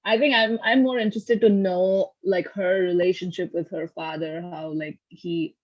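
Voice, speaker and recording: A woman's voice: lowish voice, fairly even intonation, normal speed. A woman moderating a casual feedback discussion on a video call. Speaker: moderator of a script table read. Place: online video meeting. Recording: dull lo-fi video call audio.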